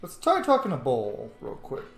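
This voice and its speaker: A man's voice: medium pitch, wildly swooping pitch, moderate pace, faint music behind. Roomy-sounding man casually narrating his gameplay. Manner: thinks out loud, muttering item names. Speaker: video game player narrating a roleplay playthrough. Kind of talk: casual let's-play commentary. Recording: roomy echo, background noise.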